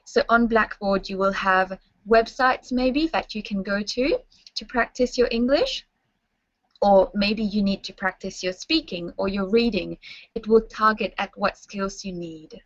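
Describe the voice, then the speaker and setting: A woman's voice: medium pitch, a little slow, no room sound. Woman presenting a webinar. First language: French. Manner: friendly instructive, checks in with "okay?". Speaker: university staff presenter. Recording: muffled dry webinar audio.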